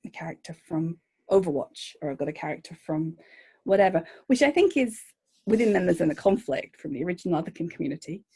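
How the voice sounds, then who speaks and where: Female journalist guest, husky, thoughtful and hesitant. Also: lowish voice, expressive, brisk, mellow. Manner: thoughtful, with frequent "um"s and restarts. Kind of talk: interview answers.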